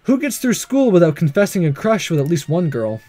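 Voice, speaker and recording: A man voicing an earnest, nervous, lovestruck male high school student in a visual novel, speaking hesitantly. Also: big pitch swings, fairly quick, dark tone. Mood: earnest, pondering. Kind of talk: reading visual novel dialogue aloud.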